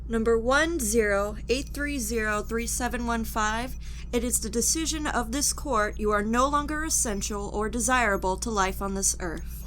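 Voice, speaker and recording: A stern judge of a future technocracy, played by a woman, speaks in a fairly high female voice. She is clipped, officious and contemptuous, in formal audio drama style, over an audible hum. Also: moderate pace, a bit bright, close to an everyday voice. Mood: stern, official. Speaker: actor. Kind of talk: audio drama acting, formal courtroom interrogation.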